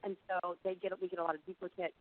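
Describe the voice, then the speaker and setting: A woman's voice: fairly low pitch, little pitch movement, fast, no echo. A middle-aged woman, slightly froggy from being under the weather, giving a conversational, explanatory webinar presentation as an admissions director. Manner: conversational, explanatory, frequent "you know". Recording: phone-like narrow band, dry.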